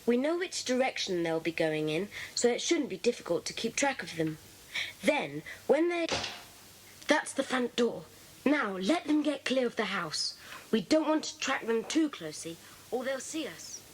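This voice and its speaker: An earnest English schoolboy in a children's audio drama, organising things with purpose. The voice is high and lively, on a hissy old cassette. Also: female voice, normal speed. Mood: conspiratorial. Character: earnest, determined schoolboy detective.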